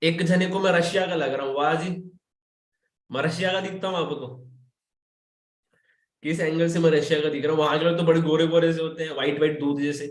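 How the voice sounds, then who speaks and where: A young man from Chhattisgarh hosts an online webinar in a chatty, teasing way and talks straight to his viewers. His voice sits high for a man. The sound comes from a video call and is echoey, as if he is in a bare room. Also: steady tone, a little fast, pauses often. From Chhattisgarh, India. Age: young adult. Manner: chatty, playful, addresses viewers directly. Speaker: webinar host. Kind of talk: casual online webinar talk.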